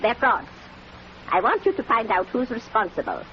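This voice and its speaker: A woman's voice: fairly high pitch, big pitch swings, normal speed. A woman playing an imperious, suspicious elderly matriarch in a 1940s radio drama, with a stern, commanding manner. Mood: accusing. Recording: muffled, noisy old radio recording.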